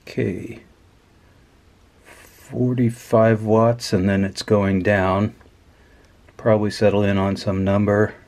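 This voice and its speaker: A man giving a casual, unscripted hands-on demonstration in a matter-of-fact, measured way at an indoor workbench. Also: on the low side, little pitch movement, darkish tone. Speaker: host of an electronics teardown video.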